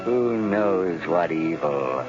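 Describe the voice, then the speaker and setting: An actor playing a sinister, mocking mystery avenger in an old radio drama, slow and menacing. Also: male voice, low-pitched, expressive. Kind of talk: 1930s radio drama acting. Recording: muffled old radio recording, loud music behind.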